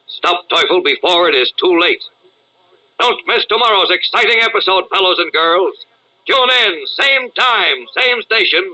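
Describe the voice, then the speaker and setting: Guy announcer, super sharp urgent voice, old time radio serial narration. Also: fairly high pitch, low-quality recording. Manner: theatrical, urgent. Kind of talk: 1940s radio narration, dramatic.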